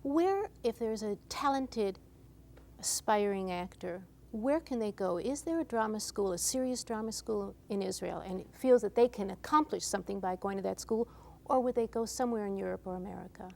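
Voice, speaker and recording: A woman's voice: medium pitch, normal speed, gravelly, a little mellow. Attentive woman hosting an interview. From Chicago. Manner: attentive, frequent affirmations. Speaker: interview host. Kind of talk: interview conversation.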